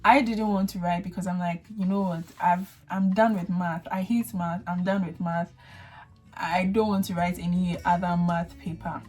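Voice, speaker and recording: A young woman with a Ghanaian accent, not a native English speaker, hosting a casual chat and telling her story with lively acted-out thoughts. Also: on the low side, normal speed, soft background music. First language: a Ghanaian language. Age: young adult. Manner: animated storyteller acting out her own thoughts. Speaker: vlogger host.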